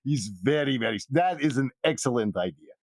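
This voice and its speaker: Scheming fantasy bar owner, played by a man, theatrical producer type, halting gushy patter, dry recording. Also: mid-range voice, animated, no room sound, natural voice. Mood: gushing, pleased.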